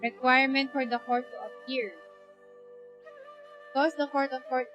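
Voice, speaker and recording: High-voiced Filipino woman streaming a game. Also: fairly even intonation, a little slow, fairly bright tone, highs cut off. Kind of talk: live gameplay commentary, reading game dialogue aloud. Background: game music.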